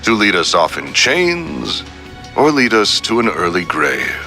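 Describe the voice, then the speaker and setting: A man's voice: fairly low pitch, measured pace, soft background music, full character voice. A man voicing a suave, coldly polite crime boss delivering a formal, grandiose villain speech in a video game. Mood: courteous menace. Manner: formal, grandiose, calmly threatening.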